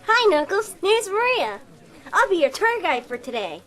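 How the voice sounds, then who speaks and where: A woman's voice: extremely high pitch, big pitch swings, normal speed, a bit bright, exaggerated voice. A woman playing an enthusiastic young security team member in an audio drama, perky and playful. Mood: upbeat, playful.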